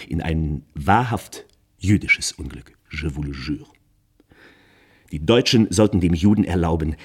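An actor playing an urbane, garrulous, flattering impresario with a husky voice, in a theatrical radio drama monologue. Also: male voice, sits low, full character voice. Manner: theatrical, effusive, peppering speech with French phrases.

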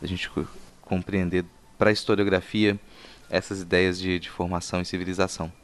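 A man with a low, resonant voice hosts a casual interview. His phrasing is hesitant, circling back on words as he works toward a question. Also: flat delivery, moderate pace. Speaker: podcast host.

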